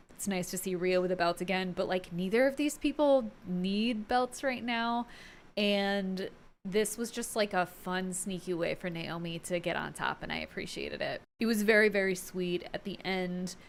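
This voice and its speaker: Chatty, enthusiastic woman vlogger. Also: mid-range voice. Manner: chatty, enthusiastic, informal slang. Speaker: wrestling fan vlogger, host. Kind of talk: casual opinion vlog to viewers.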